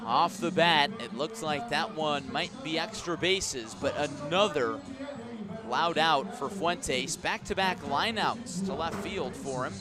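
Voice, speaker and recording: A man doing live radio play-by-play of a baseball game, with a measured call that rises sharply on big plays. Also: on the high side, over loud music.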